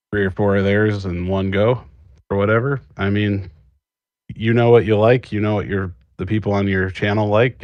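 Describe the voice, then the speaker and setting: Low-voiced man chatting, very dry sound. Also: no echo, highs cut off. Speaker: panelist, script writer. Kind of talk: casual podcast discussion.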